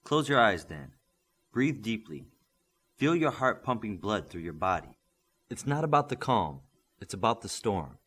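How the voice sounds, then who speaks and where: A man's voice: lowish voice, very animated, a little slow, a bit bright, slightly rough, exaggerated voice. A man playing a patient, firm superhero mentor in an audiobook, coaching and instructing steadily. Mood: patient, encouraging.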